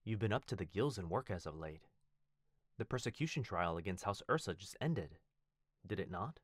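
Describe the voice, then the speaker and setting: A man playing a devoted head butler who speaks formally and fondly, with gentle teasing, in an ASMR roleplay. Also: low-pitched, lively intonation, moderate pace, no room sound, clean studio sound. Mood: warm, devoted. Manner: formal, deferential, fond, softly teasing. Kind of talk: ASMR boyfriend roleplay, scripted acting.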